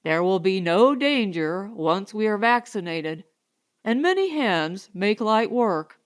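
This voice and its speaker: A woman voicing a calm, kindly gentleman in an audiobook, reassuring and measured. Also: medium pitch, smooth. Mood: comforting. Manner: comforting, measured.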